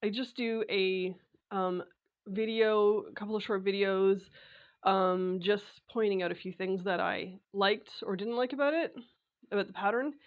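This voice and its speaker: Raspy, congested woman chatting about sewing. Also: mid-range voice, steady tone, a little slow, phone-quality sound. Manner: chatty, with frequent filler sounds and restarts. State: congested, recovering from a cold. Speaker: sewing vlogger. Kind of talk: casual talk to camera.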